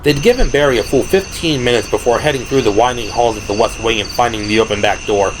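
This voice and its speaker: Male narrator for dramatic audio fiction. Medium pitch, scripted read, clear layer of night-insect noise behind. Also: fast. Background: crickets and ambient sound effects.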